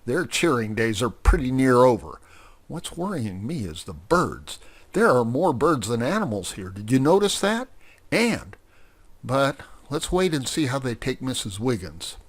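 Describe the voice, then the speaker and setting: A man voicing a blunt, sharp-tongued male cat who speaks urgently. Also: mid-range voice, sing-song, measured pace. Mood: darkly scheming.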